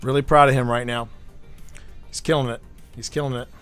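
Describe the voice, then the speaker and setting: A man's voice: medium pitch, steady tone, brisk, a bit bright, faint music behind. A man from the United States hosting a livestream, rambling through stories and often repeating his words as he chats. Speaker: livestream host. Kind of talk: casual chat.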